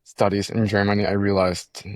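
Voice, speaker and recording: A man's voice: on the low side, flat delivery, moderate pace, no room sound. A young man from Costa Rica, a podcast guest, telling his story in casual chat, often repeating words and laughing between thoughts.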